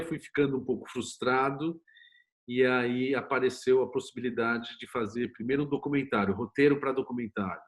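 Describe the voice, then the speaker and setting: Man, Brazilian Portuguese accent, mid-range voice, candid and self-deprecating, interview guest. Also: mid-range voice. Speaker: interview guest, screenwriter and producer. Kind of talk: conversational interview, telling own career story.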